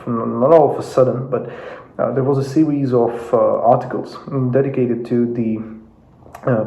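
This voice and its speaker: A man from Russia whose first language is Russian, talking casually from his home office without a proper microphone, pausing often with fillers and restarts. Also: medium pitch, gravelly, dark tone. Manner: hesitant, many fillers and restarts. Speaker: YouTube commentator. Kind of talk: casual talk to camera. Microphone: no dedicated microphone. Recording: roomy, dull lo-fi sound.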